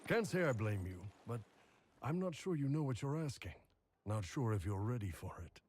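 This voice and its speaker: A man's voice: medium pitch, big pitch swings, normal speed, darkish tone, slight background noise, lightly acted. A man playing a big, blunt, laid-back mercenary warrior with a casual manner in a video game. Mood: teasing. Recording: game audio with background ambience.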